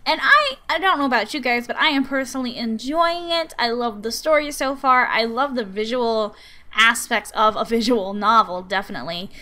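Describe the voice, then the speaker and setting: Animated high-voiced woman hosting a game playthrough. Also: normal speed. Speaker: gaming channel host. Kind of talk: let's play intro and story read-aloud. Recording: music behind the voice. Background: background music.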